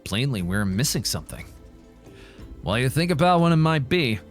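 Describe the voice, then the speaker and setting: A man voicing a composed, wry adventurer from a fantasy video game, reading in a measured, articulate, theatrical way. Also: mid-range voice, sing-song, faint music behind. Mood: pensive.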